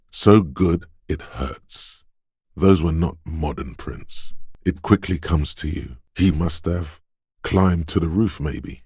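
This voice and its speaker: A man voicing the quick-witted, alert inner voice of a detective's reflexes in a video game, narrating dramatically in the second person with a deep, smooth, intense delivery. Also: darkish tone, dry recording, studio quality, full character voice.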